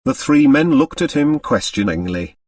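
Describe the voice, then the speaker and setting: Animated male audiobook narrator, lively pitch. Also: normal speed, breathy edge, no echo, studio quality, far from the mic. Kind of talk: scripted dramatic narration of a mystery novel.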